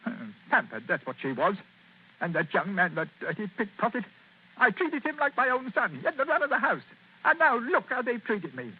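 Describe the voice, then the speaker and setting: A man's voice: very high-pitched, big pitch swings, a little fast, breathy edge, exaggerated voice. An actor playing a miserly, self-pitying old man in a 1940s radio drama. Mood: aggrieved. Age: older. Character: miserly old male retired colourman, whining. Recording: tinny lo-fi old radio recording.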